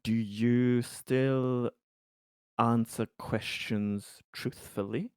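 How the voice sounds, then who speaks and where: A man of middle pitch speaks slowly and carefully, stopping often on fillers while keeping his wording formal and polite. The recording is dry and close, with no room sound. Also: fairly even intonation, clean tone, no room sound. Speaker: player. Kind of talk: in-character roleplay as a colony security officer.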